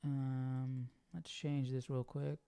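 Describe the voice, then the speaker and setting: A man's voice: medium pitch, level tone, slow, vocal fry, mellow, smooth. A man hosting a livestream, informally thinking aloud as he comments on a Bible reading. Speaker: livestream host.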